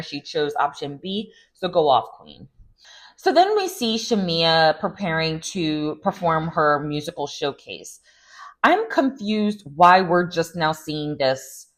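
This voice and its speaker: A woman giving a casual, opinionated recap of a reality TV show, chatty and animated, with sarcastic asides. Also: low voice. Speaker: recap vlogger.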